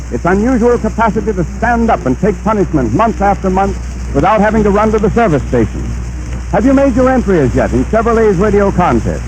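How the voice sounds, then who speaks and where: A man with a high, crisp voice delivers a sponsor pitch in polished, persuasive announcer style. The old broadcast sound carries a faint hiss. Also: darkish tone, rough recording. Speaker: radio announcer.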